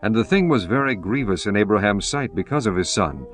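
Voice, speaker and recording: Low-voiced man solemnly narrating scripture. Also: animated, faint music behind. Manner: formal, measured archaic reading. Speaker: audiobook narrator.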